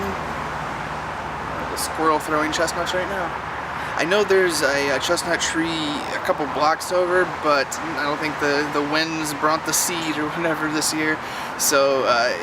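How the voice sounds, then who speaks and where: A man chatting casually to his vlog in a rambling, self-correcting way. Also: a little high. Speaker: vlogger. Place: mostly outdoors in a yard and neighborhood. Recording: noisy outdoor recording with car and street ambience.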